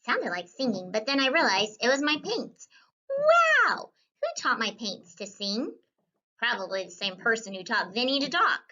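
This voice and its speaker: A woman reading a children's story as a whimsical, wisecracking young student painter, with animated delivery and playful, wry asides. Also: a little high, moderate pace, dull audio. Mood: curious, surprised. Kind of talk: scripted children's read-aloud.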